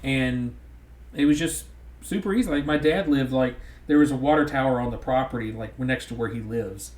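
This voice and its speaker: A man's voice: mid-range voice, moderate pace, a little mellow. A man with a thick Southern drawl, rambling in casual podcast chat with frequent restarts. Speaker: podcast co-host. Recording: roomy echo.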